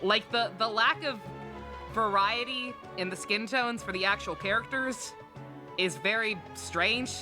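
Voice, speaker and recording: A woman's voice: medium pitch, unhurried. A woman live streaming a game with casual, candid commentary, rambling and stammering, with frequent swearing. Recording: game music behind the voice.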